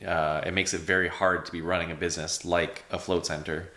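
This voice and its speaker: A man's voice: low-pitched, level tone, fairly quick, over loud music. A man hosting a podcast in a casual, hesitant way, with many fillers and repeated words. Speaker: podcast host. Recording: echoey room.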